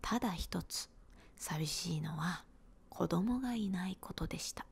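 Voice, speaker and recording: A woman, giving a gentle, unhurried whispered ASMR storybook reading in a small room, close to a handheld recorder. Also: lowish voice, creaky, slightly rough.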